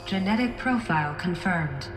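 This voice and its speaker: A woman's voice: on the low side, animated, normal speed, lightly acted. A woman voicing an impassive automated security computer in a video game, formal and procedural. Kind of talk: video game cutscene acting. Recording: echoey, with music behind.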